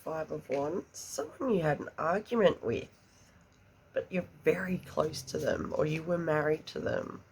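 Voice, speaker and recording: Slow, gravelly woman reading tarot. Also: low-pitched, darkish tone, slight background noise, rough recording. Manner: chatty, murmurs card questions under her breath. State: congested after an illness. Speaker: tarot reader. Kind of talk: pick-a-card tarot reading.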